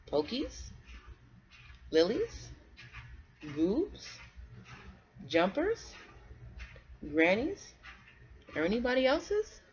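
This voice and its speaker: A woman from North Carolina reading aloud to children in a conversational way, pausing to put questions to the young listeners. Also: deep voice, lo-fi sound. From North Carolina, United States. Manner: conversational, asks the listener questions and pauses for answers.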